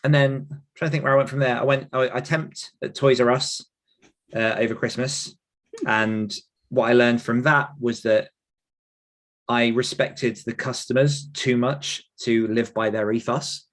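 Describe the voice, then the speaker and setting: A man's voice: medium pitch, steady tone, a little slow. A British man telling casual personal anecdotes as an interview guest, chatty and self-effacing, often thinking aloud. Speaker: podcast guest and author.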